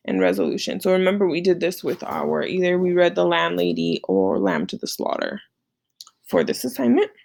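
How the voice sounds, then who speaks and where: Lady teacher explaining classwork, chatty, lots of vocal fry, keeps checking in. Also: medium pitch. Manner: conversational, checks in often with short tag questions. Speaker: teacher explaining an assignment to students. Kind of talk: informal screen-share lesson, walking through slides.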